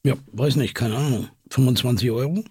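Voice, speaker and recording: Casting: actor as doubting, informal male journalist, heavily husky mid-low chat, close dry sound, naturalistic radio play. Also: fairly quick, warm tone, no echo, natural voice. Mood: amused. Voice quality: husky, gravelly. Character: skeptical, casual, probing male interviewer. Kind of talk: conversational interview in an audio drama.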